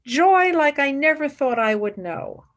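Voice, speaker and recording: Actress as intense young scholar, sweeping expressive pitch. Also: female voice, exaggerated voice. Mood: elated. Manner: dramatic, fervent, deliberate. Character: intense, devoted young female philosophy student, emotionally fervent. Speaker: actor. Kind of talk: radio play acting.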